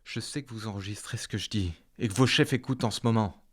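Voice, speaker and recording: A man's voice: on the low side, quick pace, lightly acted. A man playing an exasperated, authoritative police captain in a sci-fi audio drama, blunt and indignant. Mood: angry, indignant. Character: angry, exasperated police captain under Senate orders.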